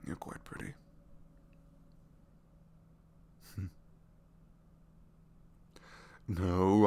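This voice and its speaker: A man's voice: sits low, creaky, a bit bright, slightly rough, full character voice. A man acting out a bashful, flirtatious supervillain with a good heart in an intimate ASMR roleplay, speaking hesitantly with sheepish stammers. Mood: flirty, bashful.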